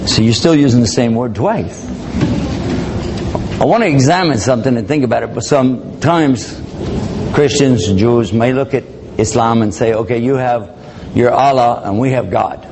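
Male lecturer, mid-pitched, casual and joking, acts out exchanges, recorded live in a hall with clear background noise. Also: normal speed. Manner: conversational, joking, acts out dialogue. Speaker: Islamic lecturer. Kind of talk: public lecture.

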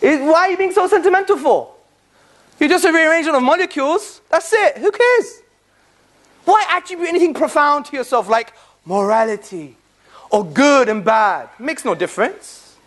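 Lecturer, high-voiced, talking to a live room. Punchy rhetorical questions, playful asides, quick scripture recitation. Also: fairly bright tone. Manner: rhetorical, fires repeated questions at the listeners; jokey asides with bursts of laughter. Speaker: public speaker addressing an audience. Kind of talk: lively public talk on faith and philosophy.

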